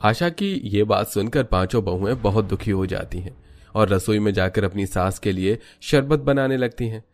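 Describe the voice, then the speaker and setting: Low-pitched male story narrator. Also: moderate pace. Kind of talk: scripted children's story narration.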